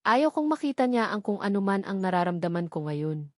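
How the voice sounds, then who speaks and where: A woman's voice: medium pitch, sing-song, brisk, darkish tone, no echo. Woman narrating a romance novel, dry close sound. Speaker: audiobook narrator. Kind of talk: scripted first-person romance novel narration.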